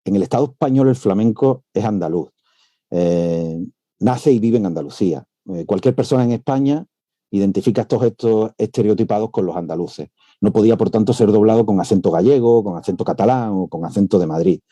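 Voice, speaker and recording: A male professor giving an academic lecture over a video call. He speaks in a measured way, with frequent "eh" hesitations. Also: fairly low pitch, dark tone, no echo. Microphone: computer mic on a video call.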